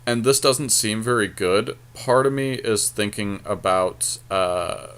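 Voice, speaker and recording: Male podcast co-host, lowish pitch, casual gaming commentary, thinking out loud. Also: takes it slowly, smooth. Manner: thinking aloud. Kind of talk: casual commentary on a card game draft.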